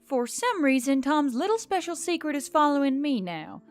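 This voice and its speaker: Woman doing a fed up reluctant heroine from a fantasy audiobook, wry, sweary, highish voice. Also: sing-song, normal speed. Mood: weary, reluctant. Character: Emily, reluctant, exasperated, sarcastic human heroine. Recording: background music under the voice.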